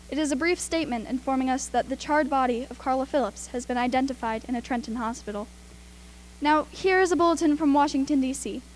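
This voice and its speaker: A woman's voice: high voice, a little hiss or hum, lightly acted. A woman playing an earnest radio news announcer reading emergency bulletins formally in a radio play.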